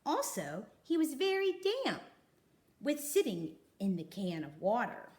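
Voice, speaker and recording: A woman reading a children's storybook aloud, expressively, with dramatic emphasis and pauses. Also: medium pitch, wildly swooping pitch, darkish tone, slight background noise. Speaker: storybook reader.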